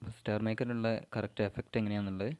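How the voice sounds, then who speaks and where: A man from Kerala, giving an informal step-by-step tutorial in halting, short phrases. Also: lowish voice, level tone, darkish tone, smooth. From Kerala, India. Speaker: amateur vlogger giving an app tutorial.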